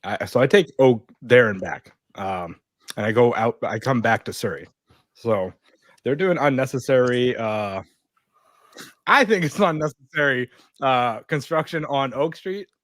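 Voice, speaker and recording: A man's voice: mid-range voice, lively intonation, unhurried, a bit bright. Guy just chatting on a panel, mid voice that moves around a lot, no echo. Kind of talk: casual chat.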